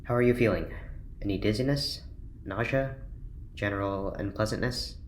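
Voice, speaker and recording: An actor playing an earnest, worried scientist doctor in an audio drama, with a careful, clinical manner. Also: male voice, lowish voice, normal speed, lightly acted. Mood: clinical, intent. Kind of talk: naturalistic audio drama acting. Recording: roomy, echoing, faint background noise.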